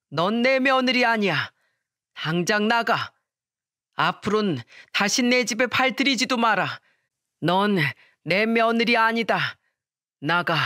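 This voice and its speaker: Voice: mid-range voice, fairly bright tone, no echo, clean studio sound. A narrator voicing an angry father who orders his daughter-in-law out of the house. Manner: angry, commanding. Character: furious middle-aged father banishing his daughter-in-law.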